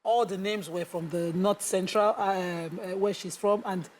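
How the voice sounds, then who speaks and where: A middle-aged Nigerian woman presenting a TV interview, speaking haltingly while she searches for words. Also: medium pitch, fairly even intonation, darkish tone, slight background noise. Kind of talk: TV studio interview.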